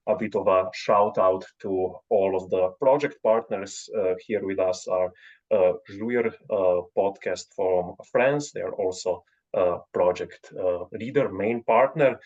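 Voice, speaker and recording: A man gives announcements in a halting way, with many fillers. His voice sits low. The video call audio sounds dull and lo-fi. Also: a little mellow. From Slovenia. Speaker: panel co-organizer. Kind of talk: event announcements.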